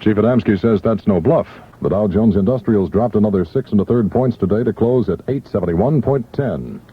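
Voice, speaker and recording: Male news anchor, lowish husky voice, formal rapid-fire bulletin read, muffled vintage AM sound. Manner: brisk, formal newsreader delivery. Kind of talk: scripted news and weather bulletin. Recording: muffled vintage AM radio broadcast.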